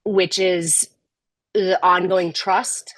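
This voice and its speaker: A middle-aged woman speaking as a podcast guest, candid and measured, often restarting her sentences. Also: on the low side, level tone, a bit bright, dry recording. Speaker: podcast guest, tech executive. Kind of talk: casual interview conversation.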